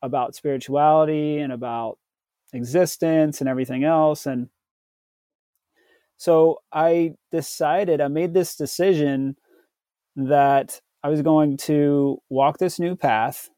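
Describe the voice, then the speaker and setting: An American man from Tennessee telling his personal story as a podcast guest, in a halting, reflective way with frequent pauses mid-sentence. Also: a little high, steady tone, mellow, no echo. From southern United States, Tennessee.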